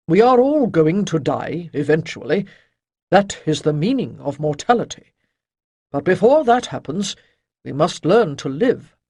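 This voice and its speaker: A narrator voicing an elderly wise male master, speaking in a patient, gently lecturing way. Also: mid-range voice, husky, no room sound, exaggerated voice.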